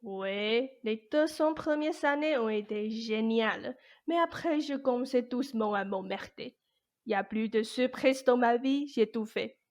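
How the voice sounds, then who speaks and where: A woman's voice: on the high side, unhurried, darkish tone, lightly acted. A woman acting as a jaded, ancient immortal fairy godmother in a radio play, blunt, colloquial and mischievous. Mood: weary, nostalgic. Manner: blunt, colloquial, world-weary. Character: jaded 874-year-old immortal fairy godmother, grumbling but mischievous.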